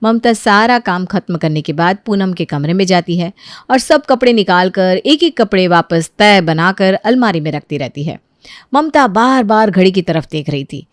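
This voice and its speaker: A woman storyteller narrates a scripted tale in a medium-pitched voice. Her delivery is expressive, with wide swings of intonation, and the recording is close and dry. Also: moderate pace, no echo.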